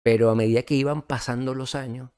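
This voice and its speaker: A middle-aged man with a Venezuelan accent, telling his story as a guest in a casual interview, relaxed and reflective, with many fillers and restarts. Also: mid-range voice, fast talker, clean tone, no echo, studio quality.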